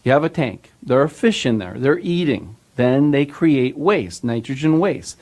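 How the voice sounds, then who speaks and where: A man with an average-pitched voice talks through an explanation in an informal way. He sounds unscripted and conversational, leaning on everyday comparisons and checking in with rhetorical questions. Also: lively intonation, unhurried, a little mellow.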